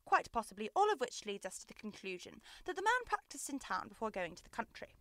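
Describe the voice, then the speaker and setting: A woman's voice: fairly high pitch, sing-song, fast talker, sharp tone, no echo, clean studio sound, heavily acted. A young woman playing a brilliant, self-assured male Victorian detective in a theatrical, precise radio drama style. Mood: confident, instructive. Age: young adult. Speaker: student actor.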